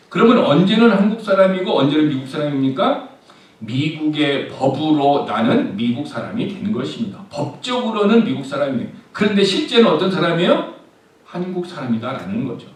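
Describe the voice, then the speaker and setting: Male pastor, higher voice, sermon with questions put to the pews, recorded distant in a very echoey church hall. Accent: Korean. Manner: asks the congregation rhetorical questions and answers them himself. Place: church sanctuary.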